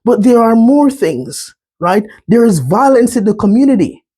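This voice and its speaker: A man's voice: very high-pitched, sing-song, normal speed, warm tone, slightly rough. A man with a Jamaican accent giving an earnest slide presentation on mental health, often checking in with "right?".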